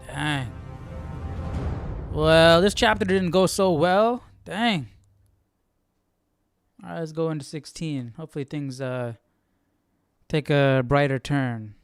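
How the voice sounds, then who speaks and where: Clear, dry-sounding casual male host. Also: fairly high pitch, slow, long pauses, dry recording, soft background music. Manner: informal, reactive, musing aloud. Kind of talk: casual live commentary.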